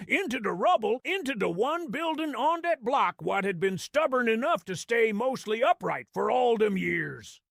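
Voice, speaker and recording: A man's voice: high voice, gravelly, fairly bright tone, no room sound, clean studio sound, exaggerated voice. A man voicing a small, sly goblin storyteller, narrating an audio drama in slangy, dramatic fashion. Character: small scrappy male grot goblin storyteller, sly, awed, gossipy.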